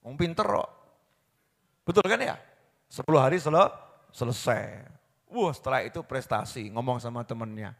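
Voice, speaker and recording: A man preaching a sermon, swinging from hushed storytelling to loud impassioned pleading. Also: medium pitch, animated, moderate pace, creaky, pauses often. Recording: long hall reverb.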